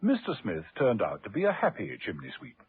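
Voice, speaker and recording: A man's voice: fairly low pitch, huge pitch swings, fairly quick, very noisy. Male narrator on tinny old radio. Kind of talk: scripted radio drama narration.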